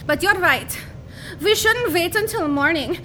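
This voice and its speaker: Bratty scheming girl played by a woman, snappy and giggly, very high, bright piercing tone, comedic audio drama. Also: unhurried, a little hiss or hum, rough recording. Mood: bickering.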